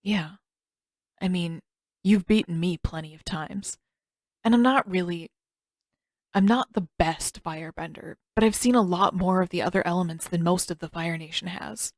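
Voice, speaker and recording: A woman's voice: on the low side, normal speed, no room sound. A woman acts out a teenage boy prince in an audiobook story. He is reserved and unsure of himself, speaking in a subdued, hesitant way. The recording is very dry. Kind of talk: audiobook character dialogue.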